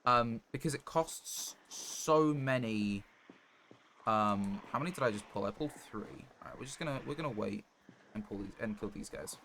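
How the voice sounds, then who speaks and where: A man with a fairly low voice is live streaming a survival game. He talks casually and thinks aloud in short, broken phrases. There is clear noise behind him, with clicking. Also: normal speed, a bit bright. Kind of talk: casual gameplay commentary.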